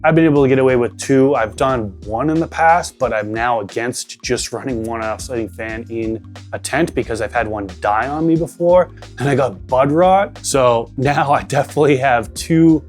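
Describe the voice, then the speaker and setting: A man with a Boston accent talks at an ordinary mid pitch while he walks through his grow tent gear. He explains things step by step in an easygoing, conversational way. Soft music plays faintly behind him. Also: moderate pace. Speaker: grow channel host. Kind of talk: casual equipment walkthrough.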